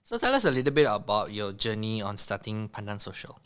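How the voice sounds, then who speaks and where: A man hosting a podcast, introducing his guest and nudging him along with quick questions and frequent mm-hmms. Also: on the low side, big pitch swings, pushed and bright, clean tone. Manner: encouraging, many short mm-hmms. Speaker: podcast host. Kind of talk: casual podcast interview.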